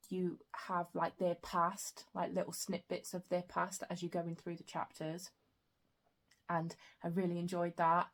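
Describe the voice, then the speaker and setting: A young woman chatting casually about her reading in a book vlog, her throat a bit croaky, with frequent soft fillers. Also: lowish voice. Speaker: book vlogger.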